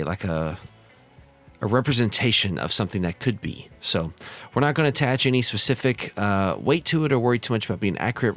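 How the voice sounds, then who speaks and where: American dude, lowish voice, little hoarse n congested from a cold, chill conversational tutorial commentary. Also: male voice. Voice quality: slightly raspy from a cold. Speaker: host of a model railroad simulator channel.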